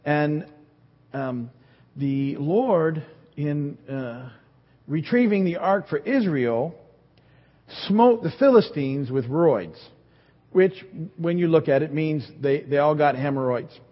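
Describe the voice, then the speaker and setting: Lively fifty-something man preaching. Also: on the high side, slow. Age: 50s. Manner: lively storytelling, self-deprecating humor. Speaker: pastor. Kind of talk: sermon. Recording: dull sound in a reverberant hall.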